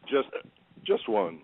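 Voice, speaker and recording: A man playing a suspicious, drunk older food critic in an audio play. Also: slight background noise. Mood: tipsy. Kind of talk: audio stage-play acting. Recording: tinny narrowband phone-like line.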